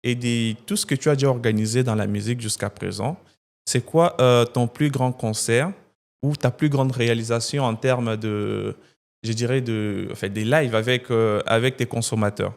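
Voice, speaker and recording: A man's voice: medium pitch, normal speed, vocal fry. A man interviewing a guest, asking long, rambling questions full of fillers and restarts.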